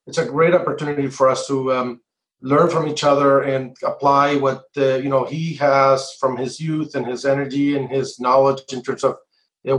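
Middle aged guy from colombia being interviewed, hesitant measured talk, mid pitch, roomy echo. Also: a bit bright. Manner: halting, measured, frequent hesitations. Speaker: interview guest, entrepreneur. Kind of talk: conversational interview answers. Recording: echoey, roomy sound.

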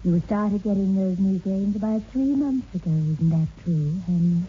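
A woman playing a mysterious, commanding woman in a 1960s radio horror drama, measured and insistent. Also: mid-range voice, lightly acted. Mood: calm, knowing. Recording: tinny old radio recording, faint noise.

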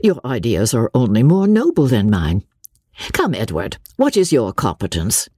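A woman voicing a sensible, composed young woman in a story, reasoned and gently ironic. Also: very deep voice, wildly swooping pitch, normal speed, husky, dry recording, exaggerated voice. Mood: calm, wry. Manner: reasoned, wry.

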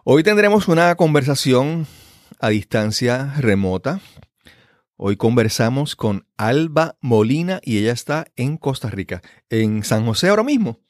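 A man's voice: mid-range voice, sing-song, unhurried, darkish tone, no room sound, clean studio sound. A man hosting a podcast, introducing and interviewing in a conversational way. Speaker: podcast host.

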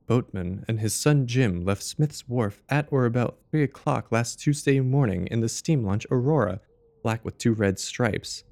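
A man acting a loyal, earnest gentleman in an audio drama, with a measured, formal delivery. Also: medium pitch, close to an everyday voice. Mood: puzzled, measured.

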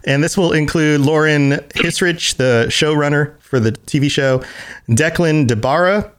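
An American man co-hosting a podcast, chatting casually and reading event listings aloud, with animated jumps to high excited exclamations. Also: measured pace, clear, resonant tone. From United States. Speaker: podcast co-host.

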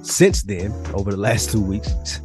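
A man co-hosting a casual podcast, talking in an animated way and climbing higher when he gets worked up. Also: measured pace, soft background music. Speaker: podcast co-host.

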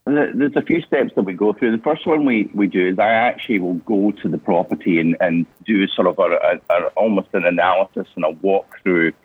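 A man's voice: deep voice, brisk, hardly any pauses, slightly rough, no echo. A Scottish man speaking as an interview guest over a phone call, answering hesitantly with many restarts. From Scotland. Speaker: interview guest, business owner. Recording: tinny phone line.

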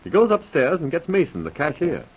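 An eager, puzzled police subordinate in a 1940s radio drama. His husky voice rises and falls with lively emphasis. The vintage recording sounds dull. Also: a little high, brisk, full character voice. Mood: earnest, reporting. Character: earnest, puzzled police subordinate reciting case facts.

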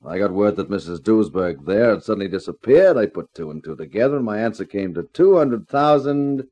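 An actor playing a menacing, gloating gangster in a 1950s radio crime drama, with a sneering delivery. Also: male voice, on the low side, expressive, fairly quick, mellow, muffled recording. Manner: sneering, threatening. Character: menacing, gloating male gangster nightclub owner.

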